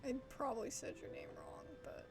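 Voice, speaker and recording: Casting: chatty female streamer, informal and thinking aloud, very animated high voice, slight background rumble. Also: normal speed, creaky, over music. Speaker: game streamer. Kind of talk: casual let's-play commentary.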